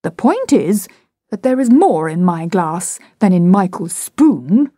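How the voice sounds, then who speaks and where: A sulky, blustering father from a children's storybook, acted out by a woman reader. The delivery swings widely in pitch, indignant and full of self-pity, with drawn-out, slow phrasing. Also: very animated, mellow, dry recording, studio quality, full character voice. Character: petulant, cowardly, blustering father of young children. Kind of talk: dramatised storybook reading.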